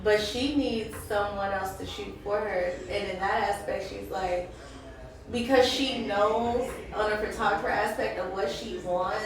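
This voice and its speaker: A woman's voice: mid-range voice, steady tone, unhurried. A woman hosting an interview in casual, slangy, chatty talk. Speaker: interviewer and host. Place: echoey room at a mixer event. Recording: very echoey room, distant mic, faint noise.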